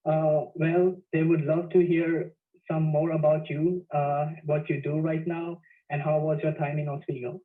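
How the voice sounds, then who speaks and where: A man's voice: a little high, little pitch movement, measured pace. A young man, a student, hosting an interview over a video call and asking hesitant questions with "um" and "like". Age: young adult. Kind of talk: casual interview. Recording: tinny phone-like call audio.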